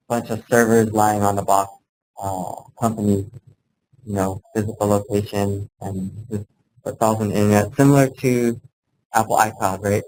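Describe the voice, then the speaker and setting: Man, lowish pitch, monotone delivery, informal instructional presentation, step-by-step explaining, light background noise. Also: poor audio. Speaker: presenter of a workplace software training.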